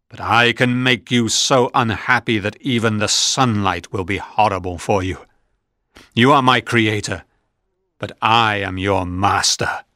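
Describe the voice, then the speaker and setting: A man's voice: lowish voice, pushed and bright, no echo, clean studio sound. A man voicing a lonely, vengeful monster. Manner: slow, pleading turning to threatening. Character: bitter, lonely, vengeful male creature. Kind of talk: audiobook reading.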